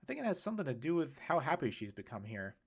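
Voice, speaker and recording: A man's voice: a little high, very animated, quick pace, husky, exaggerated voice. A man voicing a casual, blunt adult man in a storybook, skeptical and teasing, with informal, emphatic delivery. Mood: musing.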